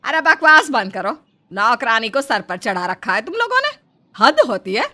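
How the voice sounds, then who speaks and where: A woman's voice: a little high, very animated, normal speed, bright, forceful tone. A woman voicing a vain, irritable middle-aged mother-in-law with a haughty, exasperated manner in a family story.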